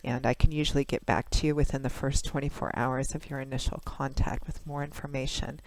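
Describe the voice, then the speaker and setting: A woman tarot reader, hoarse from a cold, talks through a monthly reading in a measured, conversational way with frequent pauses and fillers. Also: extremely low pitch, little pitch movement, a little mellow, no echo, rough recording. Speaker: tarot reader, channel host.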